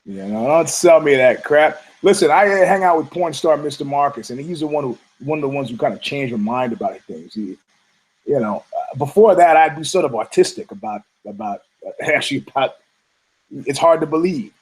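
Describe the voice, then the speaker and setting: Male podcast host, hoarse and husky, slightly high-pitched, loose and profane, rambling monologue. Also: animated, moderate pace, rough recording. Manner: rambling, profane, frequent restarts.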